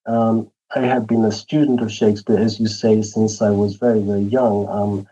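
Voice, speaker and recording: Discursive man, dark lo-fi sound. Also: lowish voice, level tone, moderate pace. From England. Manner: earnest, discursive, searching for words. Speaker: guest professor of English literature. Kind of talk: interview answer. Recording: dull lo-fi audio.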